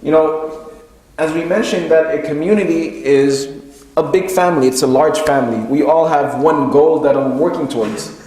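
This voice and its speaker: A man giving an explanatory Islamic sermon to his congregation, speaking deliberately and often restating his phrases. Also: a little high, darkish tone. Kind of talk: sermon, explanatory religious lecture. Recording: very echoey hall with long reverb.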